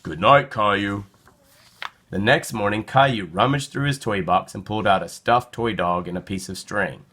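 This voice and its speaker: A man narrating a children's storybook in an expressive read-aloud style. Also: on the low side, moderate pace, a little hiss or hum.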